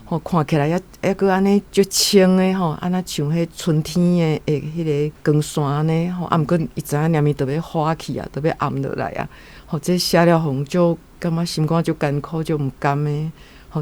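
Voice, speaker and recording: Reflective radio guest, mid-pitched voice. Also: fairly even intonation, normal speed, a little mellow. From Taiwan. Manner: reflective, with frequent tag particles. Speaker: guest commentator. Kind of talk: spoken literary commentary.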